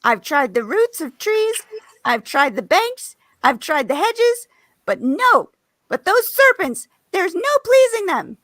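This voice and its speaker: Frantic mama bird from a storybook, super high n piercing, bright, scolding everyone. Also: female voice, sing-song, takes it slowly, dry recording, heavily acted. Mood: exasperated. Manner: shrill, exasperated, scolding. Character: frantic, indignant mother pigeon guarding her eggs. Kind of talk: live reading of an abridged classic story.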